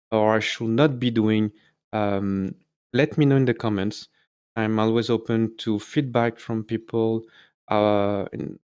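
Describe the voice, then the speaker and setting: A man's voice: medium pitch, a little mellow, smooth. A man with a French accent, a native French speaker, hosting a casual solo video and talking hesitantly with many fillers and self-corrections. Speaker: YouTube host.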